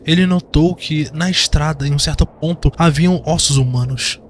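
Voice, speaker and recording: A man's voice: a little high, moderate pace, slight background noise. A man with a Brazilian accent giving dramatic scripted narration of a fantasy audio drama. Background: background music.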